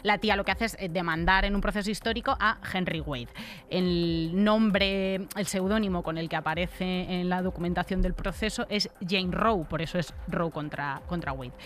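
A woman's voice: fairly low pitch, moderate pace. A woman of about forty with a Castilian accent from Spain, co-hosting a podcast and explaining news in a blunt, colloquial way into a close studio mic. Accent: Castilian Spanish from Spain. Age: around 40. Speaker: podcast co-host. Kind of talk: explanatory news commentary, conversational. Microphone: close broadcast mic on an arm.

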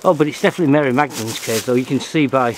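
A man's voice: medium pitch, very fast, noisy background. A man filming at a rocky cave in the woods, talking eagerly to a companion as he points things out. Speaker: amateur explorer filming a cave. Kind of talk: casual handheld video commentary. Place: outdoors at a rocky cave in woodland.

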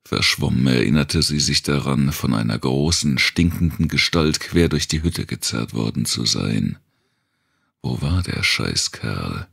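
A man reading a dark thriller audiobook in a deliberate, suspenseful storytelling manner. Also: extremely low pitch, animated, vocal fry, breathy edge, no room sound, studio quality. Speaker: audiobook narrator.

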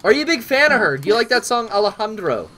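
A man's voice: high voice, very animated, quick pace, pushed and bright. A young man hosting a call-in stream with casual, mock-earnest banter. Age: young adult. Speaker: livestream host. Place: small cluttered office, indoors.

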